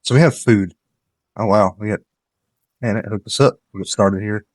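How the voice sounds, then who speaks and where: A man giving relaxed, rambling commentary on a game he plays, with a slightly nasal voice because he is coming down with a cold. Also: on the low side, moderate pace, dry recording. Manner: relaxed, rambling, gives tips while playing. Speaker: gameplay commentator. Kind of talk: casual let's-play commentary.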